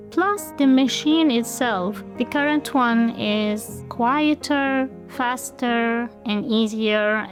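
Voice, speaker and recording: A woman from Morocco, a social worker, calmly telling her own story in a testimonial. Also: high voice. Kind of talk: personal testimonial. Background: faint music.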